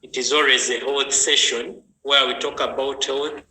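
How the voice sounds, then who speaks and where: A man's voice: mid-range voice, fairly even intonation, moderate pace, sharp tone. A man hosting an online health training, chatty and greeting viewers by name. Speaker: health session host. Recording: echoey room with faint noise.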